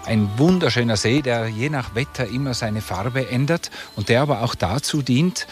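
A man's voice: mid-range voice, moderate pace, faint music behind. A man from Tyrol with a Tyrolean Austrian accent, talking conversationally as a local guide. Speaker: local guide being interviewed. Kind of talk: conversational guided commentary on the region.

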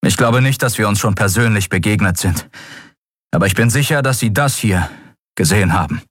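A man voicing a bold, polite man who speaks in measured, formal tones in an audiobook. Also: fairly low pitch, fairly bright tone, slightly rough, no room sound, studio quality, pitched above the performer's own voice. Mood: defiant.

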